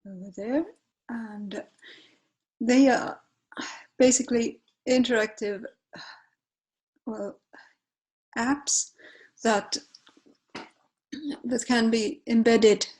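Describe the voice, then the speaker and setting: A woman in her forties, a native Finnish speaker with a Finnish accent, walks through a software tutorial while sharing her screen, often pausing with fillers and correcting herself. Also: fairly high pitch, breathy edge, low-quality recording. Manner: unhurried, hesitant, many fillers and self-corrections. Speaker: tutorial presenter.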